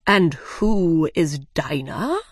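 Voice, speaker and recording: Storybook dodo, male played by a woman: pompous and bossy, very slow, deliberate delivery, close dry sound. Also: sits low, expressive, slightly rough, dry recording, heavily acted. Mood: solemn. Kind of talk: children's storybook character reading.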